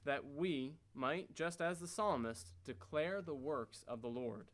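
A man reading scripted scripture in church readers theater, in a measured, declamatory way. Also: fairly high pitch, expressive, a bit bright. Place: church stage before a congregation. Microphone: stand microphones.

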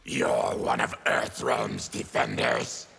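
An actor voicing a fierce mutated monster warrior in a video game, with a guttural, menacing snarl. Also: male voice, a bass voice, flat delivery, slow pace, gravelly, fairly bright tone, breathy edge, full character voice. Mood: grave. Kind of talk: video game character acting.

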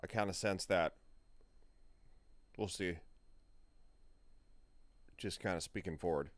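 A man's voice: low voice, takes it slowly, dry recording. A man in his forties giving casual reaction commentary in a hesitant, rambling way, recorded on a close desk mic at home. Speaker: reaction video host. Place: small room at home, indoors. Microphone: close studio mic on a desk, with headphones.

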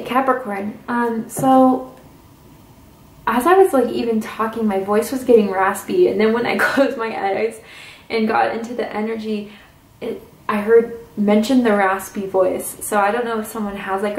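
A woman giving a casual tarot reading to camera at a table, chatty and intuitive, with drawn-out "ooh"s and "mm"s. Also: a little high, fairly even intonation. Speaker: tarot reader. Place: indoors at a tarot table with crystals and candles. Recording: roomy, distant camera mic with faint hiss.

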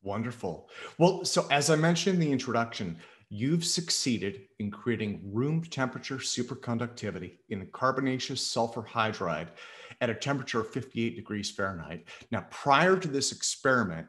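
A middle-aged man hosting an interview over a video call with a headset, reading his introduction and questions smoothly and formally. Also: mid-range voice, animated, moderate pace, a bit bright. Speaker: interview host. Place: home room.

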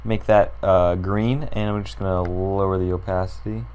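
Hoarse young man with a low voice. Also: little pitch movement, moderate pace, darkish tone. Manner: rambling, filler-heavy, thinks aloud while clicking. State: hoarse, sore throat. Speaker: tutorial creator. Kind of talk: casual screen-recorded design tutorial.

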